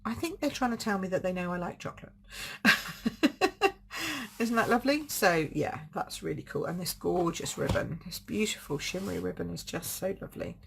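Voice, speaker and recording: Chatty woman, slightly croaky. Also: on the low side, animated, moderate pace, a bit bright, slight background noise. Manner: chatty and effusive, gushing over crafts. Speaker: card-making demonstrator hosting a live stream. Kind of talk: casual live-stream show and tell.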